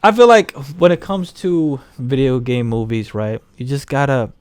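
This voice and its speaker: A man's voice: mid-range voice, big pitch swings, normal speed, a little mellow, smooth, dry recording. Joking male co-host, dry close sound. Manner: profane, joking. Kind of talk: casual chat, film review banter.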